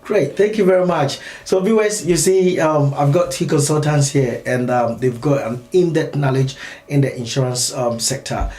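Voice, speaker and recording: A man's voice: a little high, normal speed, few pauses, darkish tone, echoey room. A man hosting a phone-in talk show, speaking in a friendly way with frequent ums.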